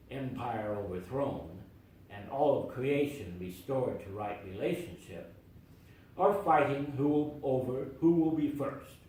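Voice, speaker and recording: A man reading a sermon aloud in a church, with a measured, deliberate delivery and emphatic phrasing. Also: medium pitch, a little mellow, slight background noise. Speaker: lay reader delivering a sermon. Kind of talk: read sermon. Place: church sanctuary. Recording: very echoey church from far off.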